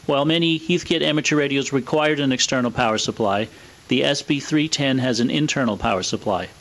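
A man's voice: medium pitch, a little fast, fairly bright tone, poor audio. A man presenting in scripted narration, explaining equipment in a methodical, matter-of-fact way. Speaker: presenter of a vintage radio equipment video.

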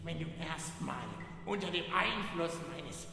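A man's voice: on the high side, expressive, measured pace, gravelly, pushed and bright, heavily acted. A man playing a gloating, theatrical evil doctor in a comic radio play. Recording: very echoey hall.

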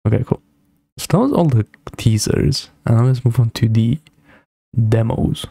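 A man's voice: mid-range voice, moderate pace, vocal fry, warm tone, dry recording. A young man giving casual, slangy reaction commentary as a streamer in a laid-back manner. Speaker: streamer reacting to game trailers. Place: small indoor room, streaming setup. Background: game trailer music.

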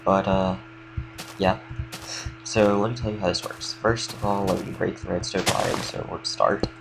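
A young man with a slight cold, casually explaining a game build in a relaxed, rambling way with lots of fillers. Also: low voice, normal speed, gravelly, loud music behind the voice, low-quality recording. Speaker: game tutorial creator. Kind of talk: casual gameplay tutorial commentary.

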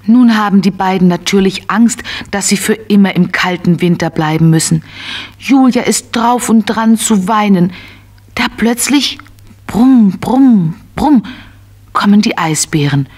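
A woman narrating a scripted children's tale in an expressive, simple storytelling manner. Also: medium pitch, measured pace, husky.

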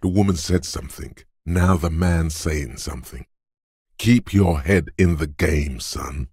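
An actor voicing a gruff, macho inner voice of physical strength for a video game, like a drill coach, blunt, taunting and commanding, with a gravelly, husky tone. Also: male voice, a bass voice, a little slow, darkish tone, dry recording, studio quality, exaggerated voice. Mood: gruff, steady.

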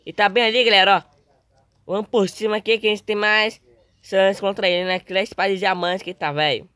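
Slightly hoarse young Brazilian male gamer. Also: high-pitched, steady tone, a little fast, sharp tone, no echo. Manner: informal, slangy. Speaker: gaming video host. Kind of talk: casual gameplay commentary.

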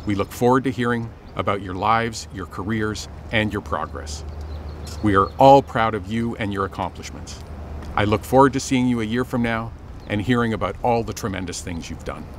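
A middle-aged man giving a formal, scripted commencement address as a dean, speaking in a measured, earnest way with deliberate phrasing. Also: on the low side, a little hiss or hum. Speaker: business school dean. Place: outdoors on an open campus plaza.

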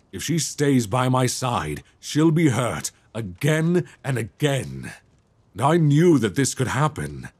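A man playing a guilt-stricken, tender young prince in a romantic audio roleplay, halting, earnest and given to sighs. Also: mid-range voice, slightly rough, full character voice.

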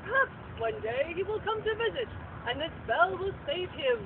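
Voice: extremely high pitch, sing-song, normal speed. An actor voicing a kindly, earnest clergyman puppet in a theatrical, exclamatory way on a live stage. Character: kindly, earnest good brother, a Viking-era clergyman puppet. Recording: tinny, noisy outdoor stage recording.

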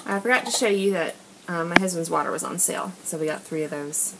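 A woman's voice: fairly low pitch, quick pace. An American woman vlogger chatting informally as she talks through things she is filming on a handheld camera. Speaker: home vlogger. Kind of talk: casual haul voice-over while filming. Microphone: handheld camera or phone, filming items. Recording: noisy handheld amateur recording, clicks.

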